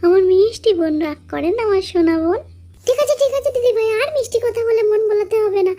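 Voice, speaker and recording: A woman's voice: very high-pitched, fast talker, dark tone. A woman voicing an affectionate, teasing village woman in a children's doll story. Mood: joyful, coaxing. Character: chatty, affectionate village sisters and aunts, doll women.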